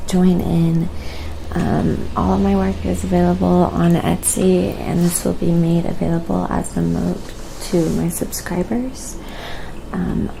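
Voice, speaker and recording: Very creaky, soft-spoken woman livestreamer. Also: on the low side, noisy background. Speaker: live streamer, painting artist. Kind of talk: casual livestream chat with ASMR painting narration.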